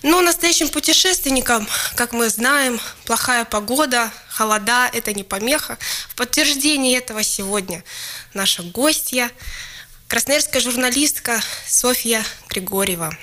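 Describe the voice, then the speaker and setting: Bright-voiced woman radio host with a cold. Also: fairly high pitch, expressive, normal speed, slight background noise. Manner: friendly, laughs often, brief prompts. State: has a cold. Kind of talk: radio talk show hosting.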